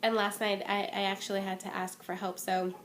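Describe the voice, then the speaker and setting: A woman's voice: medium pitch, flat delivery, fairly quick. Chatty young woman with a cold. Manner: chatty and candid, many ums and restarts. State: stuffy, slightly worn voice from a cold. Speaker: vlogger. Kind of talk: casual talking-to-camera update. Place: at home. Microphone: phone or webcam.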